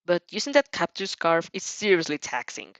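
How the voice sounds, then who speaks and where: A woman voicing a modest, weary teenage schoolboy with a dry, deadpan manner in an audio fan fiction. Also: lowish voice, moderate pace, no echo.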